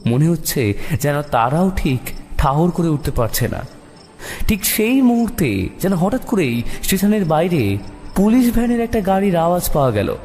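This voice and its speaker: A man narrating a detective story in the first person with expressive, suspenseful dramatic delivery. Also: on the high side, moderate pace, slight background noise. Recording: long reverb, music behind the voice.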